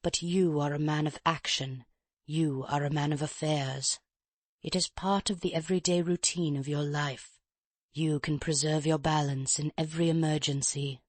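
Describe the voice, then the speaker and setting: A reader doing a frail old professor in an audiobook, a chain-smoking invalid with a mincing, overly courteous way of speaking. He sounds subdued and self-pitying, a little lower and softer than the narration. It is recorded close and dry. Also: fairly even intonation, moderate pace, dry recording, natural voice. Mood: fretful. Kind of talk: audiobook character reading.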